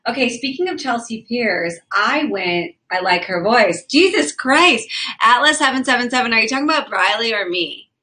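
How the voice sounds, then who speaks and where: Bright-voiced chatty young woman host. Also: fairly high pitch, animated, normal speed. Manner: chatty, giggly, lots of fillers. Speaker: livestream host. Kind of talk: casual chat reading viewer comments.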